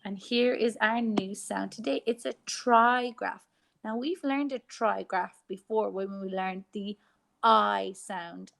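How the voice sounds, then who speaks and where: An Irish woman teacher giving a phonics lesson to young children, sounding out letters slowly and clearly. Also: mid-range voice. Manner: slow, exaggerated sounding out of letters.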